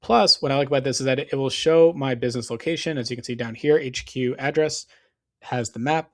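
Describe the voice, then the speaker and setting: A man's voice: medium pitch, a little fast. Man losing his voice, casual tutorial. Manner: casual, explanatory, frequent fillers and restarts. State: losing his voice, clearing throat. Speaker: SEO business owner. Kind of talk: screen-share tutorial.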